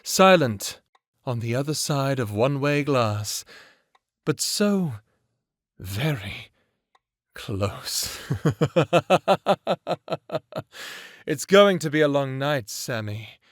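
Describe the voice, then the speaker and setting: Cruel vampire ex, played by a man: unhurried, sadistic, drawling taunts, close dry sound, for audio drama. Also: mid-range voice, sing-song, dry recording. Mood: gleeful menace. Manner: taunting, drawling, savoring words, mocking chuckles. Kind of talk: dramatic audio roleplay acting.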